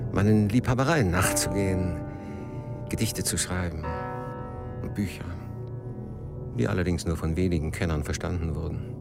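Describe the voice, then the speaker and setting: A man's voice: low voice, gravelly. An actor playing a melancholy, jealous middle-aged poet in a radio drama, brooding and literary in his phrasing. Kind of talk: radio drama narration and intimate dialogue.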